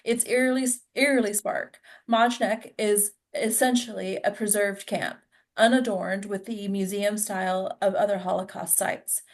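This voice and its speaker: A woman's voice: medium pitch, fairly even intonation, normal speed. A woman narrating stage directions at a table read in a plain, informal way.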